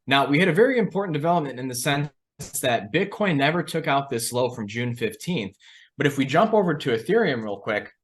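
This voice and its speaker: A man's voice: mid-range voice, animated, a little fast. A man hosting a financial discussion, giving conversational market commentary and putting questions to an analyst.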